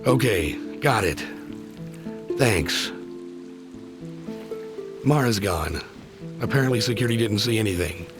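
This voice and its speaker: A man's voice: lowish voice, lively intonation, a little slow, long pauses. A man playing a resolute, terse agency chief and action hero in a video game cutscene. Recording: loud dramatic music behind the voice.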